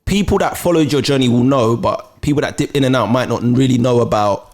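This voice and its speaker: A man's voice: mid-range voice, fairly quick, a little mellow. A man with a London accent hosting a podcast, interviewing in a casual, slangy way. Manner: slangy and informal. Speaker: podcast host.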